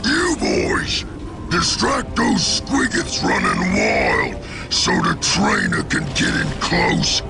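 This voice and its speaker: Gravelly Ork warboss for a game: boastful, brutish, slow heavy bellowing that swings wildly in pitch, music behind. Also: male voice, huge pitch swings, full character voice. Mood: commanding. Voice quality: gruff, gravelly. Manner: bellowing, crude slangy broken grammar. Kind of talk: video game cutscene acting. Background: loud music.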